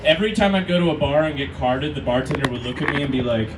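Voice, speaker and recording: A man's voice: mid-range voice, fast, recorded from a distance, background noise. A Brazilian-born man in his thirties doing a stand-up set, telling wry, profane stories and talking back to the crowd. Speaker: stand-up comedian. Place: comedy club stage before a live crowd. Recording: roomy club audio with crowd laughter.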